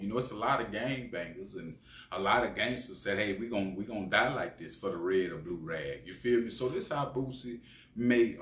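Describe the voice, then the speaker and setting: A man's voice: fairly low pitch, a bit bright. A man giving opinionated, preachy street-slang commentary as a channel host, speaking emphatically. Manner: preachy, emphatic, often tagging phrases with slang address. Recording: echoey room sound.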